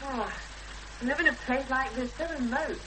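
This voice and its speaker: A woman's voice: sits high, normal speed, lightly acted. An actress playing an earnest, uneasy young English woman in a 1970s British radio drama, speaking with hesitant intensity. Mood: curious, hesitant. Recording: noisy, humming 1970s radio drama recording.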